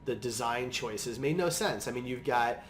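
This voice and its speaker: A man's voice: mid-range voice, normal speed, a bit bright, echoey room. Chatty male podcast host with background noise. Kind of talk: casual film discussion. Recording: noisy room background.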